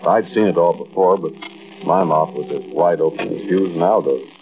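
An actor playing a folksy American man telling a first-person story in 1940s radio style, conversational and musing, with hesitant pauses. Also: deep voice. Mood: musing, uneasy. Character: folksy American man recounting an uncanny mountain outing. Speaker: narrator and lead character. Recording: muffled, hissy old-time radio recording.

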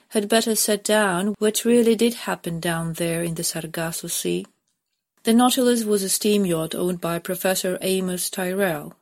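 A woman's voice: on the low side, a little mellow. A woman reading a story aloud as scripted audiobook narration. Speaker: volunteer audiobook reader.

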